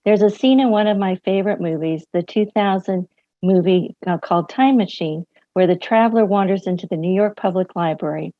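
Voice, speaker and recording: A woman hosting a library event, reading a scripted introduction of a guest speaker at a measured, deliberate pace. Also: medium pitch, no echo. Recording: dry, muffled lo-fi audio.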